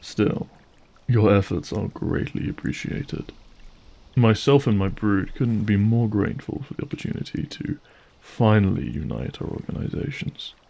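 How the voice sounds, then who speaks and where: A man performs an aristocratic monster lord from an audio drama. His voice is lowish with a marked creak, and his words are formal and silkily gracious, those of a calculating ruler who has known grief. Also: expressive, moderate pace, mellow. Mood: smooth, diplomatic. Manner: formal, gracious, silky courtesy.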